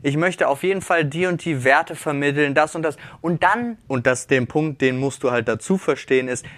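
Higher-voiced man in casual podcast talk. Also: normal speed, a bit bright, dry recording. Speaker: podcast co-host. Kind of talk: casual discussion among friends.

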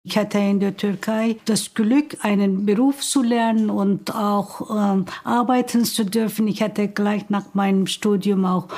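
An older woman in her seventies with a Turkish accent recalls her life. She speaks slowly and unhurriedly, stopping often to find her words. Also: medium pitch, darkish tone. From Turkey. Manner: slow and thoughtful, with frequent hesitations. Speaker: interviewee. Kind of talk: personal recollection.

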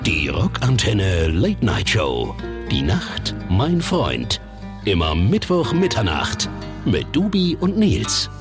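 A man's voice: lowish voice, huge pitch swings, takes it slowly. A man announcing a radio show intro in a dramatic jingle style. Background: loud music.

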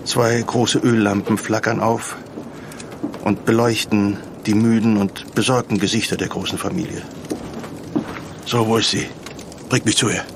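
An actor narrating a historical audio drama about an urgent ancient physician and voicing him, with a gravelly voice and storm effects behind. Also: male voice, lowish voice, steady tone, unhurried, long pauses, noisy background. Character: Hippocrates, urgent, commanding ancient Greek physician, and his narrator. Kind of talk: scripted dramatic storytelling narration.

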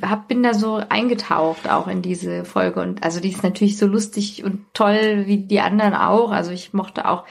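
A woman chats on a podcast in a medium-pitched voice. She speaks informally and personally, as in a relaxed conversation. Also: moderate pace, vocal fry, hardly any pauses. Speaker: podcast guest or co-host. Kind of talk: casual podcast chat, personal reminiscence.

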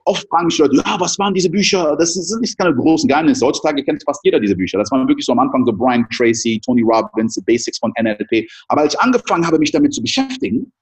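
A man in his thirties, raised in the Ivory Coast, telling his life story as an interview guest with lively, filler-heavy talk. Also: a little high, quick pace, hardly any pauses. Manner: animated storytelling with many fillers.

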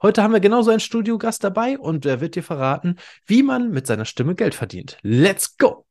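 A man's voice: high voice, big pitch swings, a little fast, noisy background. A man hosting a podcast in a casual, chatty interview style, addressing listeners directly in informal language. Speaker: podcast host.

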